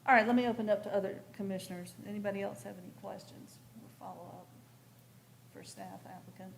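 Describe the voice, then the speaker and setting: Female commission chair, gravelly fry, businesslike and direct, clear background noise in a meeting room. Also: medium pitch, fairly even intonation, fast talker. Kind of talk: formal meeting procedure and questioning.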